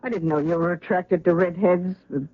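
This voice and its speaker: A woman's voice: lowish voice, fairly even intonation, normal speed, no room sound, close to an everyday voice. An actress playing a middle-aged, disapproving mother in a 1970s radio drama, cool, probing and faintly menacing. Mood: cold suspicion. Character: prying, disapproving, menacing mother of grown son. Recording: tinny old radio recording.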